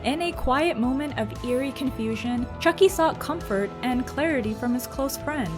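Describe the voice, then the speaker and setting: Higher-voiced woman narrator over music. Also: normal speed. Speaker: host and narrator of a countdown video. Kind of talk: scripted narration about cartoons. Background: music behind the voice.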